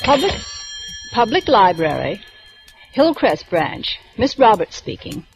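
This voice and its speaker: An actress playing a prim young woman librarian who sticks to the rules, with crisp, precise diction, in 1940s radio acting style. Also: mid-range voice, sing-song, slow pace, music in the background, poor audio. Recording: old radio broadcast with faint hiss.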